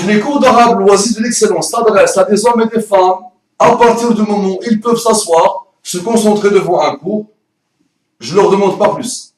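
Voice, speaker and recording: A man who speaks French as a second language, with an Arabic accent and rolled R's. He pitches an Arabic course in a playful, emphatic way full of rhetorical questions. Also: roomy sound, far from the mic, rough recording. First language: not French. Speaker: Arabic teacher.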